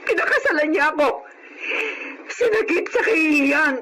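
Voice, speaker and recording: Woman playing emotional widowed mother, animated, noisy recording. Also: sits high, moderate pace. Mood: bitter, hurt. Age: middle-aged. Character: hurt, dignified middle-aged widowed mother. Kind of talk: Filipino radio-style melodrama acting. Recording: clear background noise, radio-like sound.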